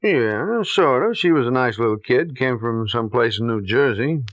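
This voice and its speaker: A man playing an ordinary, friendly but uneasy witness in a 1950s radio drama, talking colloquially and haltingly. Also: mid-range voice, expressive, no room sound, lightly acted. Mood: earnest, uneasy. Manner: colloquial, hesitant, halting with repeats. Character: ordinary guy, friendly but uneasy, questioned about missing woman. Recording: muffled old radio recording.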